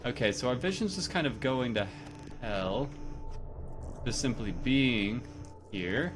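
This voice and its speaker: A man talking his way through a horror game in a lively, bouncing voice, joking at his own expense and chatting to his character. Clear game music plays behind him. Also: medium pitch. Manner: chatty, self-mocking, talks to the game character. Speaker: let's play gamer. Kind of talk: casual game commentary.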